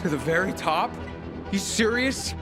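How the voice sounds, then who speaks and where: A man's voice: sits high, lively intonation, measured pace, over music. An actor playing a wry, urgent young male hero in a video game, in a naturalistic style. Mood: alarmed.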